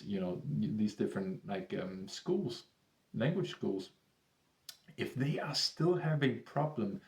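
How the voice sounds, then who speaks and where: A man with a Danish accent, a tutor speaking English as a second language and pitching one-on-one lessons to camera in a rambling, salesy way full of restarts. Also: gravelly, darkish tone, roomy sound. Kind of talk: persuasive talk to camera.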